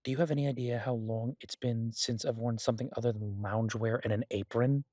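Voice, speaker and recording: Guy acting as a restless mob boss chatting to his sweetheart, mid pitch, really dry sound, playfully dramatic, audio rp. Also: fairly even intonation, a little fast, a little mellow, dry recording, natural voice. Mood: exasperated, griping. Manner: conversational, exasperated, swearing, playfully dramatic. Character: male mafia boss, doting, restless, stuck baking in a safe house. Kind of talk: audio roleplay, one side of an intimate phone conversation.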